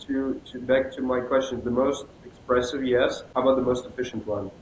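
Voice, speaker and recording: A man at a middle pitch hosts a casual podcast interview, asking his questions with hesitant pauses and fillers. The room is echoey, and a faint rumble sits underneath. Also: steady tone, a little mellow.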